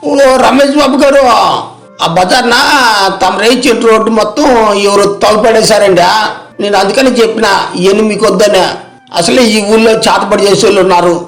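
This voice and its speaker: A man voicing an excitable, superstitious villager with agitated, deferential rustic speech in an audio story. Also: high-pitched, a little fast, roomy sound. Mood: alarmed, agitated. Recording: music behind the voice.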